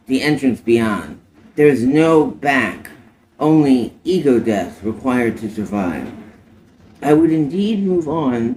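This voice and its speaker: A man reciting poetry in a dramatic spoken word style, in deliberate short phrases with emphatic stresses. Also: medium pitch, darkish tone. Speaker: poet reciting own poem. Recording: clearly noisy recording, ambient background.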